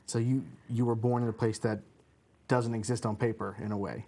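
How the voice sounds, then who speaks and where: A man's voice: medium pitch, level tone, fairly quick, darkish tone, slight background noise. An easygoing man in his thirties hosting a local interview show. His voice sits in a plain middle range, heard through a lapel mic in a small studio. He asks friendly questions and keeps things moving. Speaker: host. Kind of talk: community TV interview. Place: small TV studio, seated at a desk.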